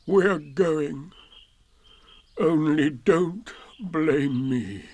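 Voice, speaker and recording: Slow, gloomy, grumbling donkey. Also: male voice, a little high, a little mellow, no room sound. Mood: resigned. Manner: slow, morose, complaining. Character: gloomy, grumbling, put-upon old male donkey. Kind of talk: children's storybook reading.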